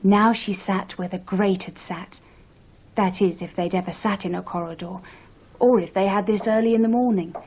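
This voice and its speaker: A woman acting a dreamy aspiring novelist who narrates herself in a deliberate, self-dramatising way, in a radio drama. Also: medium pitch, steady tone, a little mellow, slightly rough. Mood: brooding, literary. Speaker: actor in a radio play. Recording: dull 1980s radio recording.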